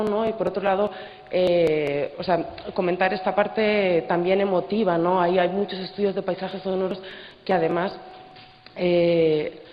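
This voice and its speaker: A thoughtful audience member speaks spontaneously in a public discussion, feeling for words and checking for agreement. The voice sits at a middle pitch. It rings through a large hall with long reverb and a slightly dull sound. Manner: hesitant, reflective, seeks agreement with tag questions. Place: large indoor congress hall.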